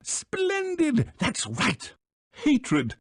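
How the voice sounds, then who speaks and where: A pompous British bird mastermind from a video game. The delivery is theatrical and condescending, with pitch that leaps and dips constantly. The sound is dry and close. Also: male voice, huge pitch swings, very slow, no echo, exaggerated voice. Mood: gloating, lecturing. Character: pompous, scheming, flightless male bird villain, small and elderly.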